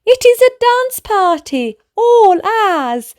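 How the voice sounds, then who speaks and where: Woman voicing a very high, singsong little boy. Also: very animated, slow pace, a little mellow, heavily acted. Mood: excited. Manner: singsong, exclaiming. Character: excitable, playful little toddler boy, sweet and pleading.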